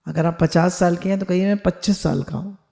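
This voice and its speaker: A woman's voice: lowish voice, fairly quick, vocal fry, warm tone. A woman spiritual teacher on a video call, leading listeners through affirmations they repeat after her, mixing Hindi with English phrases.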